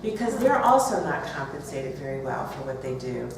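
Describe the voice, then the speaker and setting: A woman's voice: very deep voice, very animated, normal speed. A woman author speaking and reading aloud at a live event. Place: bookstore, audience event. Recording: roomy, distant, noisy live event recording.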